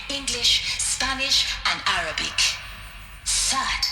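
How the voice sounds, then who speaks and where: A woman playing a dignified, solemn scholar and director giving a formal, measured briefing in a video game. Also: mid-range voice, natural voice. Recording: roomy echo, music behind.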